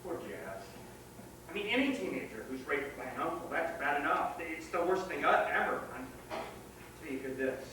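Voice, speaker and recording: A man's voice: fairly high pitch, animated, moderate pace, close to an everyday voice. An actor at a staged reading playing an earnest, troubled male teacher, hesitant and sincere. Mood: shocked. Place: theatre stage, recorded from far off. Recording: distant, echoey, noisy theatre recording.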